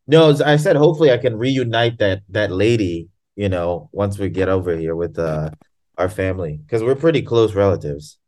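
A man from Tanzania, his voice sitting a little low, talks in a loose, animated way, laughing and cursing easily. He is heard over a video call. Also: normal speed. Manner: animated, laughing, swearing casually. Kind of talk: casual chat.

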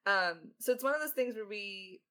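A talkative woman speaking as a podcast writer in a casual Q&A, reflective and halting, with self-deprecating asides. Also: mid-range voice, lively intonation, pushed and bright. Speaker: podcast lead writer answering questions. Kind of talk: casual creator Q&A.

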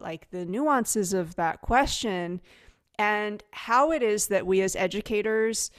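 A woman hosting an education podcast, reading announcements and introducing her guest in an enthusiastic way. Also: fairly low pitch. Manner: enthusiastic, upbeat promo reading. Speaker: podcast host.

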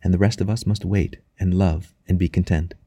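A man voicing a devout, tender young wife in an audiobook, speaking softly and reverently. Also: deep voice, fairly even intonation, brisk, slightly rough, no room sound, clean studio sound. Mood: rapt devotion. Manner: soft, quiet, reverent. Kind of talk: audiobook character dialogue.

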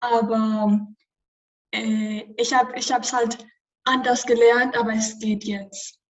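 A woman's voice: a little high, level tone, takes it slowly, distant mic, dull audio. Hesitant teen girl with Spanish accent. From Spain. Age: teens. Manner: hesitant, many fillers. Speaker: student podcaster. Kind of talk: casual chat.

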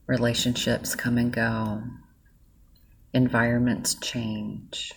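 A woman guides a gratitude meditation, speaking softly and soothingly right to the listener. Her voice sits very low, with a gravelly, husky texture. She takes her time, letting each phrase settle. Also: level tone. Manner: soft, slow and soothing, speaking directly to the listener.

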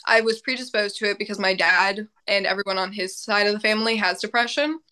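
A young woman talks casually as a podcast guest, leaning on fillers like "like" and "um". Her voice is bright and forward. She laughs often as she talks. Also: medium pitch, quick pace. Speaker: podcast guest, mental health advocate. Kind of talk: casual interview chat.

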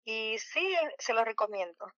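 Salvadoran woman, middle-aged, radio guest; slow, halting delivery with lots of fillers, bright tone, slightly muffled line. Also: on the high side, animated, no room sound. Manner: hesitant, many fillers. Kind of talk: casual radio interview.

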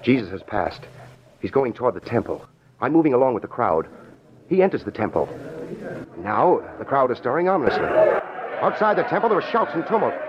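A man's voice: mid-range voice, very animated, muffled recording, lightly acted. Awestruck radio reporter played by a man, noisy recording. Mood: reverent, urgent. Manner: dramatic, wonder-struck, halting. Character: awestruck male radio reporter transported to ancient Jerusalem. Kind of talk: old-time radio drama acting.

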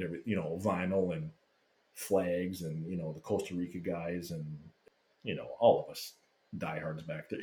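Deep-voiced man telling a story, roomy sound. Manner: animated, swearing, acts out quotes. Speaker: podcast guest telling a fan story. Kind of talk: casual storytelling chat. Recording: roomy echo.

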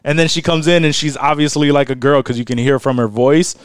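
Profane, rambling man with a crisp voice. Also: medium pitch, fairly quick, no room sound, studio quality. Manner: profane, rambling, laughs often. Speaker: podcast co-host. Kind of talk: casual chat.

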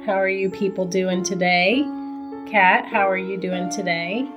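A woman from Ohio co-hosting a podcast, her voice raspy right now, chatting casually and laughing often. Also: medium pitch, steady tone, measured pace, music in the background. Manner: laughs often, says "girl". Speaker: podcast co-host. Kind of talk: casual chat.

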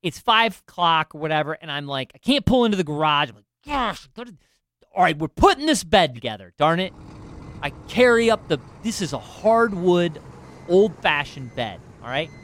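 A man's voice: a little high, sing-song, moderate pace, fairly bright tone, no room sound. A man in finance telling a self-deprecating story in casual chat, with lots of restarts and asides. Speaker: finance professional.

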